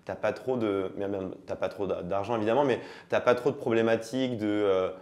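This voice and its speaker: A man in his thirties from France, a guest chatting casually in a studio interview in a relaxed, slangy way. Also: on the low side, a little fast. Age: 30s. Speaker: travel content creator, guest.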